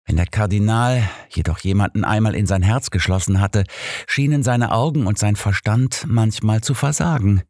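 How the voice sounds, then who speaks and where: A man voicing a devout, candid and measured male pastor in an audiobook. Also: fairly low pitch, a little mellow, dry recording, clean studio sound, pitched above the performer's own voice, close to an everyday voice.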